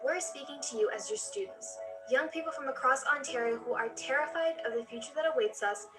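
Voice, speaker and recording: Female voice, urgent scripted advocacy read, high-pitched, over music. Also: a little fast. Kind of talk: scripted statement in advocacy video.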